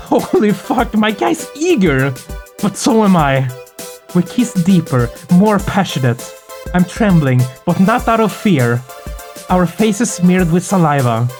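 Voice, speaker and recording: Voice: medium pitch, a little mellow, close to an everyday voice. A performer reading as an anxious, lovestruck narrator of a visual novel, breathless and intense, in short dramatic phrases. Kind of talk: dramatic first-person story reading.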